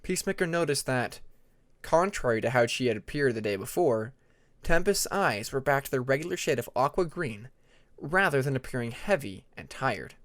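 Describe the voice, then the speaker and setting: A man narrating fiction dramatically as an audiobook reader, with deliberate, measured phrasing. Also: medium pitch, expressive, no echo.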